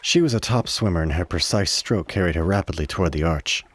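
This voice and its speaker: A man narrating a radio play with measured, literary dramatic storytelling. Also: deep voice, a bit bright, husky.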